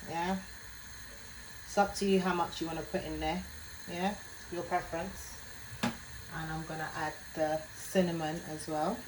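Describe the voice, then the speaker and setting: Woman with a Jamaican lilt, low-pitched. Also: noisy background. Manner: chatty, adds "yeah?" after most phrases. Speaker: home cook. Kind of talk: casual cooking tutorial. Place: home kitchen.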